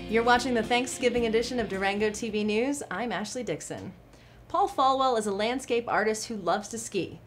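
A woman's voice: a little high, brisk, over music. Young woman reading local TV news. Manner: clear, even newsreader delivery. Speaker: news anchor. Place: TV news studio. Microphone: studio mic, seated at desk.

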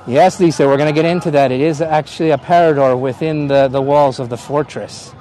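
A man's voice: a little high, fairly even intonation, fairly quick, dark tone. A middle-aged man from Canada with a Canadian accent, a tour guide chatting casually on a live stream outdoors, laughing often and greeting viewers by name. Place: outdoor hilltop park. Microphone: phone on gimbal at arm's length.

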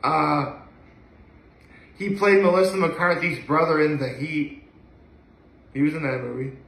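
A young man with a bright, fairly high voice chats casually to a camera a few feet away. He gushes and repeats his phrases for emphasis. The living room around him adds a clear echo. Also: a little slow. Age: young adult. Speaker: video blogger. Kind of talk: casual solo chat to camera. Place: living room at home, indoors. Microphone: camera or phone a few feet away.

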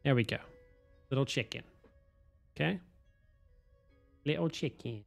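A man, streaming a game from home on a headset, hoarse from a cold, swearing and joking with chat. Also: mid-range voice, measured pace, soft background music. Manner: swearing, self-mocking, chatting to viewers. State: hoarse, losing his voice from a cold. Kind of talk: casual live-stream chatter while playing.

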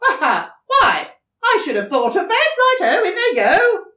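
A woman's voice: extremely high pitch, wildly swooping pitch, unhurried, bright, forceful tone, roomy sound, voice pushed up, exaggerated voice. A woman voicing a sly, boastful male dingo in a storybook, exuberant and sing-song. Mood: delighted.

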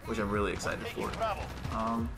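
A young man with a raspy, hoarse voice from being sick, giving casual, slangy, excitable live commentary while he plays a game at home on a headset. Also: fairly low pitch, normal speed, heavy background noise. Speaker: gaming YouTuber. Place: indoor room at home. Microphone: headset with webcam. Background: game gunfire and music.

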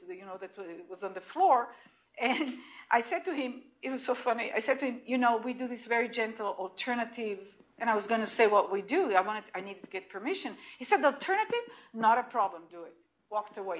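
A middle-aged woman with an Israeli accent, giving an animated lecture in a room, heard from a distant camera. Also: on the high side. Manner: animated and emphatic, with restarts and repeated points. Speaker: lecturer at hospital grand rounds. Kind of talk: lecture presentation.

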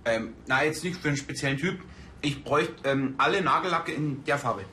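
A man's voice: fairly high pitch, fairly quick, sharp tone, a little hiss or hum. A man playing a naive, bashful policeman in a TV crime comedy, speaking hesitantly.

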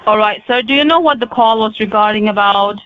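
A woman's voice: medium pitch, brisk. A woman working as a call center agent, curt and scripted on the phone. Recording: tinny phone line.

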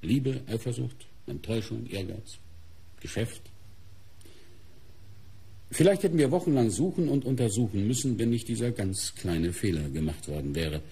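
An actor playing a shrewd, courteous police inspector in a German radio crime drama, speaking in a deliberate, formal, dramatic way. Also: male voice, on the low side, expressive, creaky, warm tone, husky, heavily acted. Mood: confident, deliberate.

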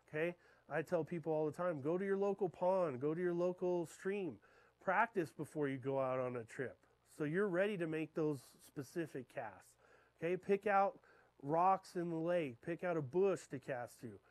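A man in his thirties, a fishing guide, giving a talk in a darkened lecture room and checking in with his listeners with a steady "okay?". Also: a little high, dry recording. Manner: keeps checking in with the audience with "okay?". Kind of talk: classroom talk to an audience. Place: dark lecture room beside a projector screen.

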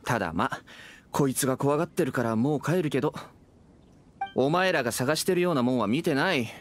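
A man's voice: medium pitch, lively intonation, a little fast, a little hiss or hum, close to an everyday voice. An actor playing a protective, irritated young man in an anime game, blunt and brusque with his words. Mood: grudging. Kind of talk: anime game character acting.

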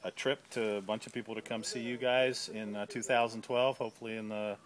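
A man's voice: lowish voice, flat delivery, a little fast. A man interviewing staff outdoors in a garden, upbeat and casual, leading the questions.

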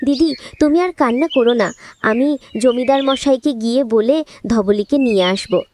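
A woman's voice: fairly high pitch, moderate pace, a little mellow, slight background noise, natural voice. A woman voicing a caring, determined younger sister in a fairy-tale cartoon, reassuring and resolute.

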